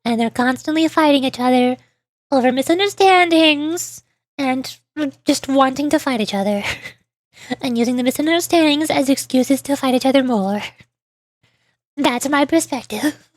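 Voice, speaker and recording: A woman's voice: high voice, moderate pace, no echo. A woman streaming as a VTuber, giving casual, playful and informal commentary on a game story. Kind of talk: casual game playthrough with read-aloud dialogue and commentary. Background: faint music.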